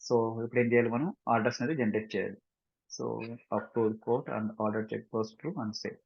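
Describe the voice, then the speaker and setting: A man with an Indian Telugu accent gives a step-by-step software tutorial as a trainer, mixing two languages and often checking that learners follow with short questions. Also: on the low side, normal speed, vocal fry, slightly rough. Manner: instructional, repeatedly checking understanding with short questions. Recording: dull, roomy lo-fi screen recording.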